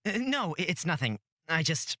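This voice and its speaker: A woman voicing an anxious young man in a fantasy visual novel, speaking in a hesitant, halting way. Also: low-pitched, sing-song, fairly bright tone, dry recording, studio quality, full character voice. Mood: flustered.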